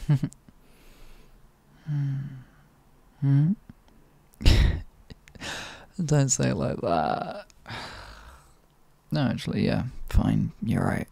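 A man voicing an affectionate, teasing partner in an intimate roleplay, speaking softly and often in a whisper. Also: medium pitch, sing-song, extremely slow, creaky, pauses often, breathy edge, no room sound, exaggerated voice. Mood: teasing, doting. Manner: soft, often whispered, coaxing, chuckling. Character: affectionate teasing male partner, doting, playful. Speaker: audio roleplay performer.

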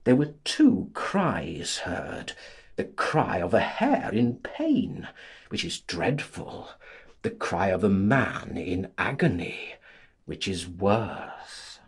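A man narrating a literary audiobook in a theatrical, deliberate, scripted style, with a husky voice. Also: deep voice, big pitch swings.

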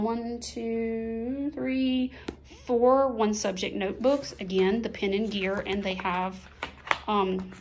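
A middle-aged woman chatting casually at her kitchen table, slowly listing the things she bought one by one. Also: mid-range voice, a little hiss or hum. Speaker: vlogger, mother of two. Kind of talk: casual haul chat.

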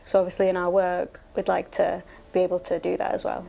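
A young woman, a glass painter, explaining her technique softly and a bit hesitantly in a workshop. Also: lowish voice, monotone, a little fast, vocal fry. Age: young adult. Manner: soft, mellow and a bit hesitant. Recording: faint music bed underneath.

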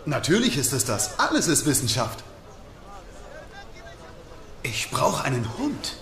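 A man's voice: mid-range voice, wildly swooping pitch, unhurried, echoey room, background noise. An actor playing an eager, stubborn and playful young male scientist in docudrama dialogue. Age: young adult.

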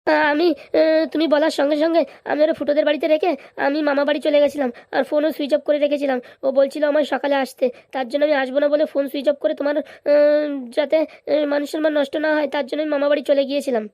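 A woman's voice: sits high, level tone, fast, darkish tone, no room sound, clean studio sound. A woman voicing a timid, gullible grown son who stammers and pleads in a comic cartoon. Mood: nervous.

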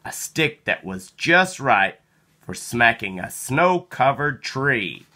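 A person reading a children's picture book aloud in an expressive, dramatic storytelling manner. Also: mid-range voice. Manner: animated, slow, dramatic delivery with acted sound words. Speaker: storybook reader.

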